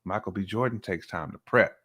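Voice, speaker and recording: A man's voice: deep voice, fairly quick, a bit bright, husky. A man co-hosting a podcast in casual, colloquial conversation, repeating himself for emphasis. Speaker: podcast co-host.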